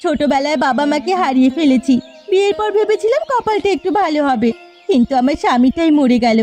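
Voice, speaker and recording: Cartoon young widow, female actor, very high voice, meek and plaintive, light music underneath. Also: animated, fast, a little mellow. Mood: sorrowful, resigned. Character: sorrowful, meek young widowed daughter-in-law, gentle and loyal. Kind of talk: Bengali cartoon fairy-tale acting.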